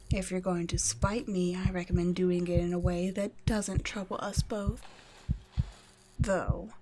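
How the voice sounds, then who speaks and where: Slow teasing vampire lady scientist, a woman acting her out, patronizing, whispers bits, faint hum behind. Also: medium pitch, natural voice, low-quality recording. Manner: teasing, patronizing, soft-spoken with whispered asides. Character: cool condescending female vampire scientist captor. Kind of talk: ASMR audio roleplay.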